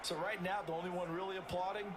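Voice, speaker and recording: A man's voice: sits high, level tone, quick pace, background noise, natural voice. A man with an American accent voicing a conversational color analyst who explains plays in a football video game. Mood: analytical, approving. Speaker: color commentator.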